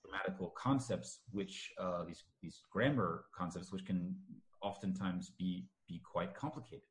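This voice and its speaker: A man's voice: low-pitched, moderate pace. A man who teaches languages, talking casually with frequent fillers and restarts. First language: English. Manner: hesitant, many fillers and restarts. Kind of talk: casual conversation.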